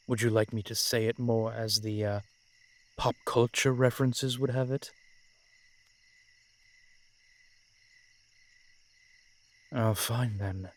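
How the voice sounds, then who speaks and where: A man playing a centuries-old vampire general in a roleplay audio drama, protective and proud, speaking with courtly, measured phrasing and dramatic pauses. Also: medium pitch, steady tone, natural voice. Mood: teasing, solemn. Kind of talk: roleplay audio drama, addressed intimately to one listener.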